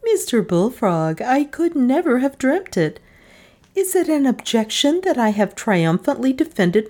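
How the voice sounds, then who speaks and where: A woman's voice: on the high side, darkish tone, voice pushed up. A woman voicing a bold, scheming wife with an arch, coaxing manner. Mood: indignant. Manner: arch, coaxing, theatrical lilt. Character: bold scheming middle-aged wife, sly and domineering.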